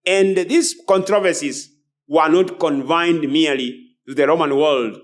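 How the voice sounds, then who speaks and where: A man preaching a sermon as a preacher, emphatic and repeating phrases as he goes. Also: high voice. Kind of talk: sermon with scripture and book readings.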